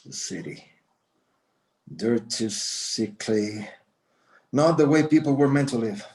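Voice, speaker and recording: A man's voice: mid-range voice, animated, takes it slowly, darkish tone. Guy doing proud old mexican-american ranch dad, stubborn, short philosophical lines, script read. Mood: sad, resistant. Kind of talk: screenplay table read acting.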